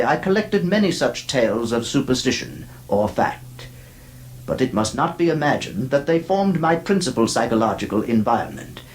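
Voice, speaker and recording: An actor playing an elderly, obstinate gentleman heir, curious and proud, speaking in measured, formal, literary phrases for a radio horror drama. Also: mid-range voice, slight background noise, low-quality recording. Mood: measured, reflective. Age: older. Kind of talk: first-person radio horror narration and dialogue.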